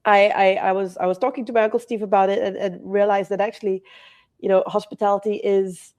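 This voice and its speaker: A woman's voice: mid-range voice, flat delivery, brisk, a little mellow. A woman in her forties from Malaysia, a hotel company CEO chatting as a podcast guest, a little nasal from hay fever, with many fillers and restarts. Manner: chatty, frequent restarts and fillers, laughs often. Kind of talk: casual interview conversation.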